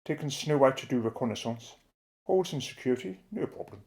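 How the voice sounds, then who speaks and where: A man playing a daring, eccentric middle-aged British inventor in an audio drama, explaining things in a declamatory, clipped way, with a creaky voice. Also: medium pitch, lively intonation, fairly quick, a little mellow. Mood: musing, wary. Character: eccentric, daring British male inventor, secretive and self-assured.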